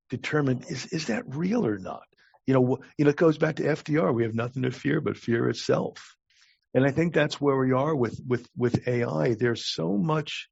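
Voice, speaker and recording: An older man with an American accent giving reflective interview answers as a guest, halting and repeating words as his thoughts form. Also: mid-range voice, creaky, a little mellow, husky, no echo, highs cut off. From Long Island, United States. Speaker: podcast guest, talent acquisition advisor.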